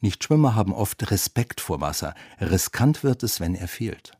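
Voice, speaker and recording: A deep-voiced man reads a scripted feature as a reporter. His tone has a husky grain, and the sound is dry and close. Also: very animated, moderate pace, warm tone, no echo. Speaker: reporter narrating a radio feature. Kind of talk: scripted narration.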